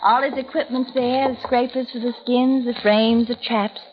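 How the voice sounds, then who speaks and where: A woman's voice: fairly high pitch, fairly even intonation, natural voice. An actress playing a cruel woman who taunts with false sweetness, in old-time radio drama style. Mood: sly menace. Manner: mock-solicitous, taunting, sardonic, drawn-out endearments. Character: cruel, mockingly sweet woman tormenting her blinded rival. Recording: dull, very noisy old radio recording.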